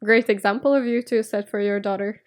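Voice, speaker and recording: A woman's voice: medium pitch, fairly even intonation, fairly quick. A woman who grew up in Spain, a guest in a casual interview, who talks in a chatty, opinionated way. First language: Russian.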